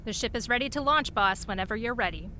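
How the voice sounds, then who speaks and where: A woman's voice: on the high side, quick pace, pushed and bright, a little hiss or hum. A woman voicing a businesslike spy underling reporting to her boss in an audio drama. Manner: crisp, businesslike. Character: efficient female spy underling.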